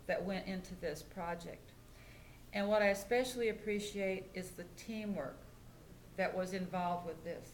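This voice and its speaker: An older American woman, a mayor chairing a public meeting and making informal remarks. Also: mid-range voice. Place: indoor council meeting room. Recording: echoey meeting room with background noise.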